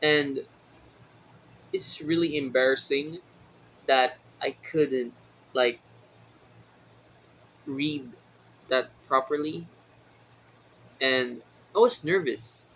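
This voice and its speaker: A young man with a Filipino accent, a native Tagalog speaker, talking to his viewers in a casual solo vlog with many pauses and fillers. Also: medium pitch, fairly bright tone, low-quality recording. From Philippines. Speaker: vlogger. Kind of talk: casual solo talk to camera.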